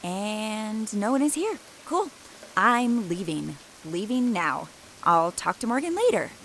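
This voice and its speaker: A woman's voice: mid-range voice, sing-song, slow, background noise. A woman playing a skittish, sardonic young college student in an audio drama, casual and hesitant, often muttering to herself. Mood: nervous muttering. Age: young adult. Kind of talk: naturalistic audio drama acting.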